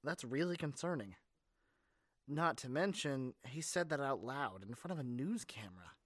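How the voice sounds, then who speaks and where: A man's voice: medium pitch, animated, normal speed, fairly bright tone, no room sound, studio quality. A man playing a caring but cocky young superhero in an audio roleplay, speaking in an earnest, casual, teasing way. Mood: concerned. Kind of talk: amateur ASMR audio roleplay.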